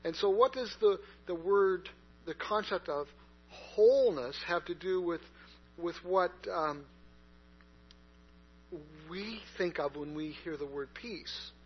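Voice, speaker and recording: A man's voice: high-pitched, slow pace, breathy edge. A man preaching a sermon in a conversational way, with many fillers and restarts. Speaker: pastor. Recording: dull lo-fi recording with mains hum.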